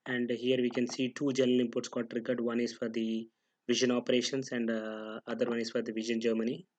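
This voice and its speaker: Flat-toned man narrating a software tutorial. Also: mid-range voice, brisk, slight background noise. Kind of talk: unscripted step-by-step screen tutorial narration.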